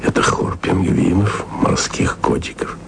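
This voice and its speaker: A man's voice: a little slow, lightly acted. An actor playing a wry, lovesick male radio journalist who murmurs confiding messages onto tape, often close to a whisper. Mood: intimate. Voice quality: low gravelly murmur, often near a whisper. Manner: intimate, confiding, self-ironic. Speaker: radio man taping messages to a colleague. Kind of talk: radio drama acting. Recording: noisy tape recording.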